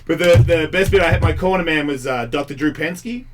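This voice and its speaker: A man's voice: a little high, a little fast. A man in his forties hosting a casual radio talk show, telling rambling stories and laughing often.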